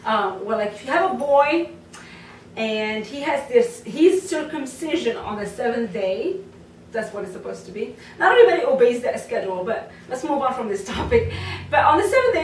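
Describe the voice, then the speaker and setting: A woman's voice: on the high side, a little hiss or hum. A woman from Morocco, a belly dance teacher, talking casually to camera with lots of fillers and asides. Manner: chatty, many fillers and asides. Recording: roomy sound from a distant mic.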